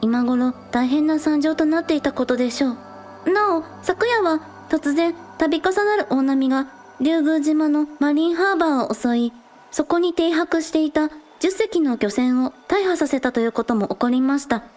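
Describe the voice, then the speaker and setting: A bubbly teenage girl idol acted by a woman speaks in a very high, cute voice. She is earnest and excitable, as in an audio drama, with music underneath. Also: moderate pace, natural voice. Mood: earnest reporting. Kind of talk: Japanese audio drama acting.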